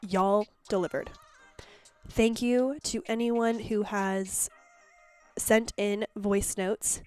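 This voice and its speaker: A woman's voice: mid-range voice, steady tone, slow pace, a little mellow, clean tone, faint music behind. A woman hosting her podcast in a casual, chatty solo monologue, sounding congested and phlegmy. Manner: chatty and candid, with restarts. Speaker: podcast host.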